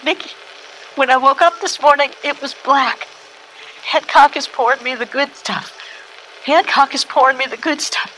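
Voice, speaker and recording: An actress playing a lounge singer confiding intimately and dramatically in a detective, as a noir radio monologue. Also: female voice, high-pitched, steady tone, moderate pace, a bit bright, poor audio. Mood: uneasy, fearful. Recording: recorded tape message with background noise.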